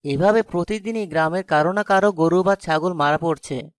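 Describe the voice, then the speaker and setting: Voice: medium pitch, moderate pace, no echo, natural voice. An actor voicing a kind-hearted young village cowherd lad in a fairy-tale cartoon. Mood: worried, earnest.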